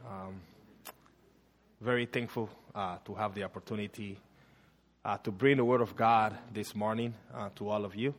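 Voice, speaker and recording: Man in his thirties, Panamanian accent, low voice, earnest sermon, reverberant church hall. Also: fairly even intonation, normal speed, long pauses. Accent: Panamanian Spanish. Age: 30s. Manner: earnest, with frequent uh and um fillers. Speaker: guest preacher. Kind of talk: sermon and prayer. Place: church sanctuary.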